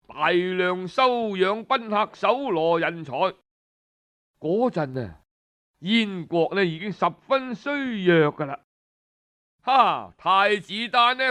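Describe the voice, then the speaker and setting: A Cantonese man tells an old historical story in the traditional spoken style. His voice sits high for a man. He takes his time, phrasing it conversationally as if talking straight to listeners. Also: a bit bright. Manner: conversational oral telling with frequent sentence-ending particles.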